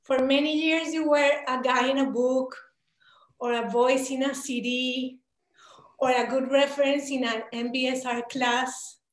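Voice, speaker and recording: High-voiced Colombian woman, earnest questioner. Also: fairly even intonation, a little slow, echoey room, rough recording. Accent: Colombian Spanish. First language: Spanish. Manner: earnest, hesitant, with many fillers. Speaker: participant asking a question. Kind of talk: asking a question in an online Q&A. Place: small home room. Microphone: video call laptop.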